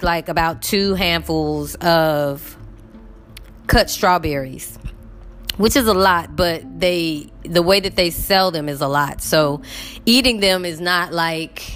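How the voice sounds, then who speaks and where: A woman's voice: on the low side, a little slow, fairly bright tone. A woman in her fifties chatting casually to her viewers, rambling with lots of fillers while getting over a cough. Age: 50s. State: recovering from illness, cough. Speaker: vlogger.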